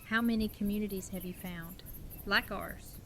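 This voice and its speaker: A woman's voice: medium pitch, fairly even intonation, moderate pace, slight background noise, natural voice. A woman playing a resolute, wary survivor leader in an audio drama, firm, direct and businesslike. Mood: stern.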